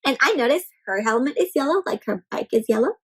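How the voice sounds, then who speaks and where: A woman with a high voice reads a picture book to young children. She is expressive and interactive, exclaiming over things and often putting questions to her young listeners as she goes. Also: quick pace, slightly rough. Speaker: children's read-aloud storyteller.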